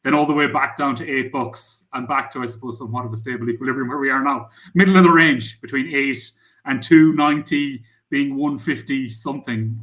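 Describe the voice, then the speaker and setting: A man's voice: mid-range voice, fairly bright tone. A middle-aged man with an Irish accent, a startup founder talking casually in an interview, rambling with lots of "I suppose". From Ireland. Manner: rambling, frequent "I suppose" fillers.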